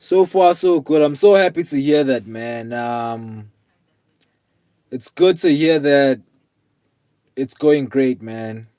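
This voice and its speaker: A man in his twenties, tired, chatting casually with viewers on a live stream in a relaxed, rambling way with lots of fillers. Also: mid-range voice, slow, long pauses, no room sound. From South Africa. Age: 20s. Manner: laid-back, rambling, many fillers, calls viewers man and bro.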